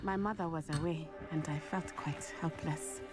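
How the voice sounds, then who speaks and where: A woman playing a solemn, brave teenage witch in a video game, speaking in a formal, measured way. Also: sits low, steady tone, lightly acted. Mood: wistful, grieving. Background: music.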